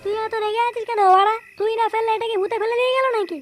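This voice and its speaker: A man voicing a cocky, pushy gang member who curses brashly in a comedy cartoon, with an artificially pitched-up voice. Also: fairly even intonation, rapid-fire, soft background music. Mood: accusing. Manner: brash, slangy cursing.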